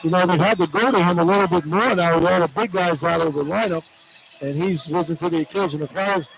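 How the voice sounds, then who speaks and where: A man's voice: high voice, fairly quick, creaky, over music, rough recording. A man giving live basketball analysis as a color commentator, talking in a conversational, explanatory way. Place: indoor basketball arena.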